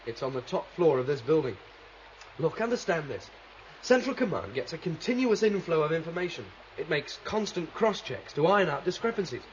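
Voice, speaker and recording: An actor playing a confident, idealistic rebel leader, explaining emphatically in a 1970s sci-fi radio drama. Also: male voice, a little high, big pitch swings, fairly quick. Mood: confident, scheming. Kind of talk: 1970s science fiction radio drama acting. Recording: dull vintage radio recording, faint noise, water.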